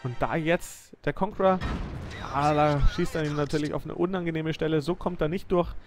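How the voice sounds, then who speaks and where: A young man giving lively, excited play-by-play commentary over a tank game replay, praising the moves as he goes. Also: fairly high pitch, fairly quick, music in the background.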